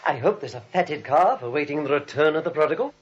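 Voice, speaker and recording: A man playing a sinister long-lost nephew in a 1940s radio drama, speaking in a grandiose, deliberate way. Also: fairly high pitch, animated, close to an everyday voice. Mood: grandly ominous. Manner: slow, grandiose, deliberate speech. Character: sinister, menacing long-lost nephew returning home, a criminal. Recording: dull old radio drama recording.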